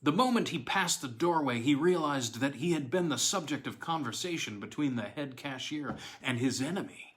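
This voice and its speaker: Male narrator, mid-pitched, measured and deliberate, reads an old weird tale with dramatic pauses. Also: fairly bright tone. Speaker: audiobook narrator. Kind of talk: scripted narration of a classic horror tale.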